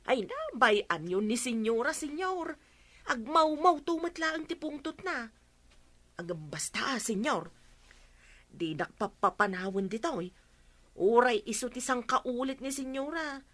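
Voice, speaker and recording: A woman's voice: on the high side, expressive, unhurried, a bit bright. A woman acting a plaintive, complaining housemaid who defers to her master in a comedy radio drama. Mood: aggrieved, grumbling.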